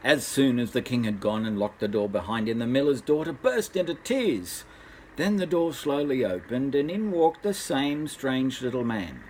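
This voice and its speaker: Older man reading a story, animated pitch. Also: a little hiss or hum, low-quality recording. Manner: unhurried, expressive, with asides to child listeners. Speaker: grandfather reading a bedtime story. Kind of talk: storybook narration for children.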